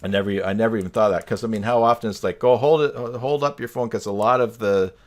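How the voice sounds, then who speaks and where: A man's voice: fairly low pitch, fast talker. A man hosting a casual live-stream chat over a video call, teasing with dry humour.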